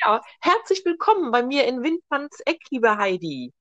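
Lively high-voiced woman hosting an interview. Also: moderate pace, no echo, muffled recording. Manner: friendly, hesitant with many fillers. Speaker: podcast host. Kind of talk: casual interview.

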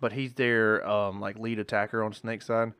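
A man's voice: on the low side, little pitch movement, moderate pace, fairly bright tone, clean tone, no echo. A male podcast co-host in casual chat, rambling and swearing, often restarting his sentences, with hiccups.

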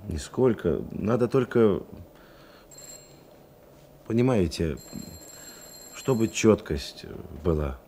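An actor playing a reserved, kind police detective in a Russian radio play, speaking in a measured, slightly halting way. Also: male voice, on the low side, expressive, warm tone, slight background noise. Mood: earnest, restrained.

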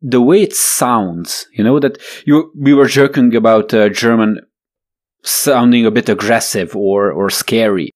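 A young man chatting casually, joking and wry, in an unhurried manner. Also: medium pitch. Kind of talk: casual chat.

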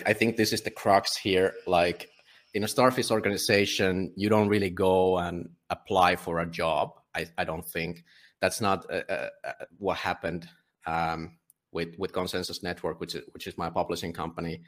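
A Finnish man, a publisher and guest, talking casually in a conversational interview, often halting and restarting his sentences. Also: deep voice, fairly bright tone. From Finland. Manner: halting, many restarts and fillers.

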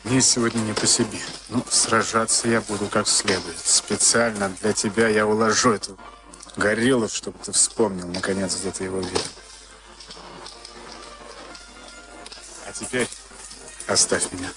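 An actor in a Soviet radio play as a moody, weary boxer of thirty-six. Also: male voice, on the low side, fairly even intonation, normal speed, natural voice, poor audio. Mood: troubled, brusque. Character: moody, weary 36-year-old professional boxer and former prisoner. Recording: archival radio recording with music behind.

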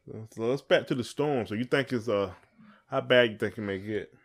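A man's voice: mid-range voice, moderate pace. A man chatting casually and colloquially as a podcast co-host. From southern United States. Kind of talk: casual chat with spouse.

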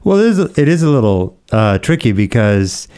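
A middle-aged American man from Oregon, a pastor being interviewed, explaining things conversationally with thoughtful, measured pauses. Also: fairly low pitch, very animated, darkish tone, smooth. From Oregon, United States.

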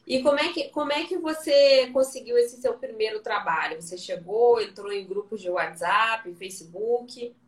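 A woman's voice: on the high side, normal speed, few pauses, roomy sound, distant mic. A woman hosting a casual live-stream interview, chatty and encouraging, asking friendly questions.

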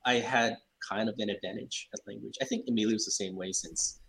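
Low, husky man explaining plainly. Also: fairly even intonation, fairly quick, a bit bright. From Hawaii. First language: Cantonese. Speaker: translator guest. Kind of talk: casual interview chat.

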